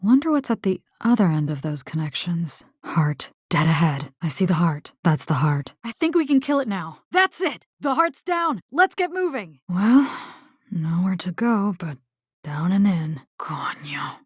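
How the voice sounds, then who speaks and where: A woman's voice: fairly low pitch, big pitch swings, unhurried, slightly rough, no room sound, clean studio sound, full character voice. A woman playing a spirited, caring young combat engineer in a video game, with a Latin American accent and informal, sassy talk that slips Spanish into English. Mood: wary, focused.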